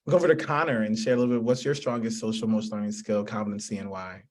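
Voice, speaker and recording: A man's voice: fairly low pitch, steady tone, rapid-fire. A man hosting a student panel, speaking unscripted and thanking and affirming each speaker. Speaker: panel host and moderator.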